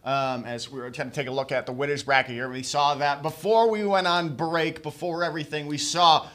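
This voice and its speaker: A young man hosting a live college esports stream. He thanks the sponsors and introduces the match in a casual, halting way, with lots of fillers. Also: fairly high pitch, fairly even intonation, fairly bright tone. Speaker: esports host.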